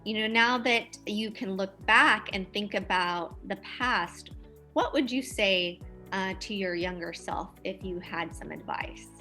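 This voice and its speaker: A woman's voice: medium pitch, a little slow, sharp tone, soft background music. A woman hosting a podcast interview, upbeat and reading prepared introductions and questions. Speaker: podcast host.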